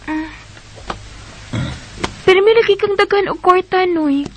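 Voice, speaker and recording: A woman's voice: very high voice, unhurried, a little hiss or hum. A woman playing a sweet, cautious schoolgirl and devoted younger sister in a Filipino Bisaya radio drama. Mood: grateful, curious.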